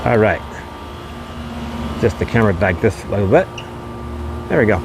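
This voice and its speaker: Man narrating outdoors over clear street noise. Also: on the low side, lively intonation, normal speed. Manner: repetitive, promotional patter. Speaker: podcast host and walking tour guide. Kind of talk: casual walking tour commentary. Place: outdoors on a shopping mall street. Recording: noisy outdoor street with passing cars.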